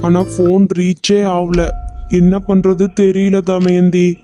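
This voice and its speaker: A worried cartoon husband, voiced by a performer, Tamil accent, flat monotone, very dark tone. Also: mid-range voice, takes it slowly, soft background music, lightly acted. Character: husband Suresh, worried and practical. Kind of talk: cartoon voice acting.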